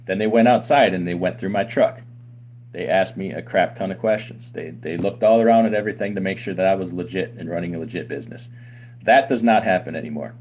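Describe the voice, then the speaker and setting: Deep-voiced man ranting emphatically. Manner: emphatic and argumentative, with many rhetorical questions. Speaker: owner of a trucking company and channel host. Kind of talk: opinionated commentary to camera.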